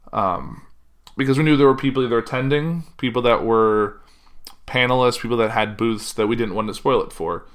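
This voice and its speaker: A man hosting a podcast, talking casually and rambling, with a loud voice that carries and frequent self-corrections. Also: on the low side. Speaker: podcast host. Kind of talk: casual solo chat.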